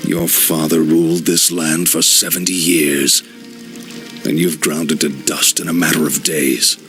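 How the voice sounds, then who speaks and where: A man's voice: a bass voice, flat delivery, unhurried, a bit bright, soft background music, full character voice. A man playing a righteous, bitterly indignant veteran paladin in a video game, grave and scornful. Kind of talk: video game dramatic acting.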